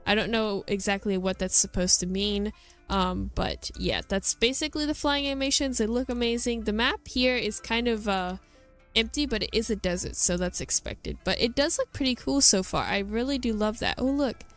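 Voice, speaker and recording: A woman's voice: medium pitch, expressive, normal speed, fairly bright tone, faint music behind. A woman hosting a gaming video, giving a chatty, enthusiastic, casual walkthrough commentary.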